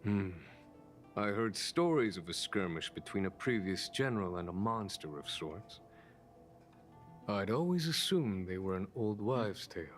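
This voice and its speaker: A man playing a calm, unhurried general in a video game, speaking formally and with measured, wry authority. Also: low-pitched. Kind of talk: scripted video game voice acting.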